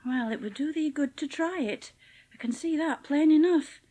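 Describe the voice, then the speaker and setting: A woman's voice: sits high, moderate pace, dark tone, lightly acted. A woman playing a cheerful, plain-spoken young housemaid with a Yorkshire accent in a dramatised audiobook. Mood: scolding, earnest. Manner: chatty, blunt, homely dialect speech.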